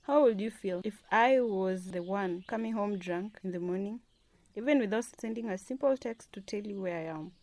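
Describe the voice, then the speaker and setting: Woman acting out an indignant, sharp-tongued wife. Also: medium pitch, normal speed, no room sound, close to an everyday voice. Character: indignant, wounded wife and demanding office boss. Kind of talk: radio drama acting.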